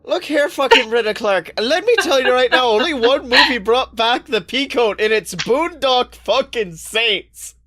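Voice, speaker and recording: A woman's voice: fairly high pitch, expressive, unhurried, hardly any pauses, fairly bright tone. A woman co-hosting a podcast in casual banter, blunt, sweary and contrarian. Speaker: podcast co-host.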